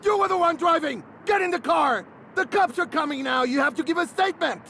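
An actor playing an excitable driving instructor who coaxes and then yells at his student, with music underneath. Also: sits high, a little slow, slight background noise, full character voice. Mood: furious. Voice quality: shouting, exasperated. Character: excitable male driving instructor, quick-tempered.

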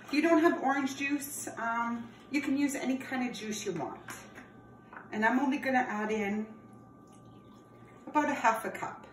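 A woman in her thirties gives a friendly, step-by-step cooking lesson for kids in a home kitchen. She is picked up by a camera across the counter, so she sounds distant and roomy. There is a clear layer of background noise behind her voice. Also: high-pitched, normal speed, long pauses. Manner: friendly, explains step by step while preparing food, calls viewers friends. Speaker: teacher hosting a cooking class for children. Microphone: camera across the counter, no visible mic.

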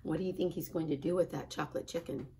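A middle-aged woman, a kindergarten teacher, reading a picture book and chatting to children in an expressive style. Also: low-pitched, quick pace, gravelly, a little hiss or hum. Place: small classroom, indoors.

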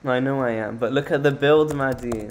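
A man's voice: mid-range voice, moderate pace, a little hiss or hum. A man co-hosting a casual game tour, talking in a teasing, playfully boastful way. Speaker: co-host of a Roblox build tour.

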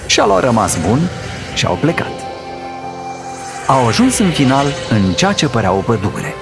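A man's voice: mid-range voice, very animated, gravelly. Man narrating fairy tales over music. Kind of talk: scripted children's fairy tale narration. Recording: voice over prominent background music.

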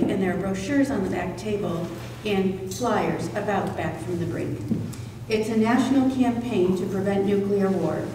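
An older woman at a podium in a community hall, reading a prepared introduction in a measured, careful way. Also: mid-range voice, dark tone. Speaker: event organizer introducing a speaker. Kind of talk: prepared introduction read from notes. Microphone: stand microphone at podium, picked up distantly. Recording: echoey hall from far off, faint noise.

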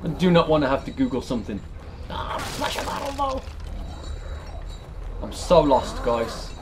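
A British man in his thirties chats casually through a horror game let's play. His pitch moves around a lot and he swears in exasperation. Clear background noise and game ambience sit behind his voice. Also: wildly swooping pitch, normal speed. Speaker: let's player. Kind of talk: casual reaction commentary over a horror game.

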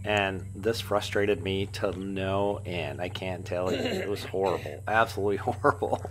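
A man in his fifties with a cold talks in a fairly low voice, rambling and full of ums and uhs. He pokes fun at himself as he goes. The recording was made outdoors on a phone and carries a faint wash of open-air ambience. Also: normal speed, slight background noise. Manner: rambling, self-deprecating, many ums and uhs. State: has a cold. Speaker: hobby paraglider vlogger. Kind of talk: casual voice-over commentary.

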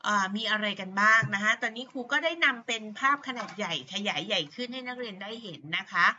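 A woman's voice: medium pitch, pushed and bright, slight background noise. A middle-aged woman with a Thai accent, an English teacher patiently explaining vocabulary to pupils with many fillers. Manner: patient, repeats words slowly, many fillers. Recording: dull lo-fi sound.

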